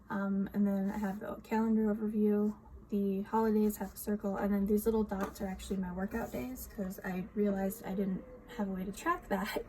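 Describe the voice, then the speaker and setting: Woman with a slightly scratchy cold voice. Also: mid-range voice. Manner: soft-spoken, frequent ums and small laughs. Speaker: vlogger. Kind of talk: casual journal walkthrough. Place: home room with outside noise.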